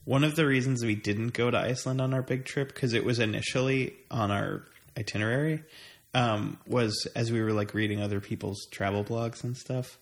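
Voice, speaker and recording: A man's voice: mid-range voice, fairly even intonation, moderate pace. An American man in casual conversation, talking in a hesitant way as he thinks aloud. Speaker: conversation partner swapping travel stories. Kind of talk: casual chat.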